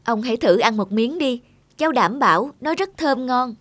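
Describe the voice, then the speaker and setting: High-voiced, kindly young waitress. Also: female voice, animated, normal speed, no echo. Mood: polite, soothing. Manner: courteous, gently reassuring. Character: patient, kindly young waitress, soothing and polite. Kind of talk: animated cartoon dubbing. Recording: music behind a close dry voice.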